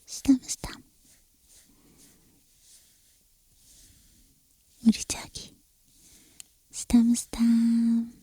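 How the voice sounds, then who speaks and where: A woman playing a tender, doting girlfriend who soothes her lover to sleep in a soft, breathy whisper for an ASMR roleplay. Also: a little high, dry recording, clean studio sound, full character voice. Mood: cooing, doting. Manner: slow, gentle, affectionate cooing. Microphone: close-up microphone, dry.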